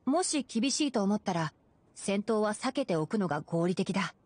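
A woman voicing a youthful male forest ranger in an anime game, calm, sensible and caring, with a measured, gentle manner. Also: mid-range voice, breathy edge, no room sound. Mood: cautioning. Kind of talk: Japanese anime game voice acting.

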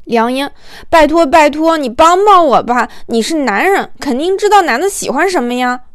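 A woman narrator plays a naive young actress in an audiobook romance. She has a high, girlish voice and talks informally, like an anxious girlfriend asking a friend for help. The sound is dry. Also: lively intonation, normal speed, dry recording. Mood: pleading.

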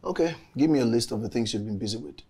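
A young man with a husky voice, acting an earnest, frustrated lover in a film drama. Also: medium pitch, lively intonation, very fast, mellow. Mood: frustrated, hurt.

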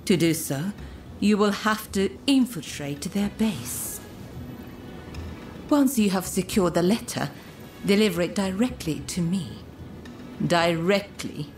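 A poised, secretive young courtesan spy in a samurai video game. She speaks very slowly and formally in a low voice, coy yet politely commanding, with soft music underneath. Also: lively intonation. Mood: composed, commanding. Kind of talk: video game cutscene acting.

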